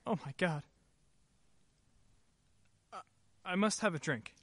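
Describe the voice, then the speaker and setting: A woman's voice: on the low side, lively intonation, slow pace, a little hiss or hum. A woman playing a short-tempered, rattled husband in an old-time radio play. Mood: shaken.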